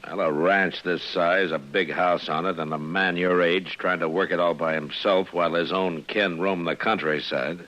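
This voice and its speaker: An American actor playing a firm, level-headed lawman in a 1950s radio western, speaking in a measured, plain way with authority. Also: sits low, steady tone, a bit bright. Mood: calm, reasoning. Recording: dull vintage radio recording.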